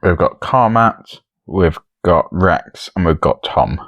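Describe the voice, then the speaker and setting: Man, low voice, dry close sound, casual podcast co-host, comedy chat. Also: lively intonation, takes it slowly, no echo, muffled recording.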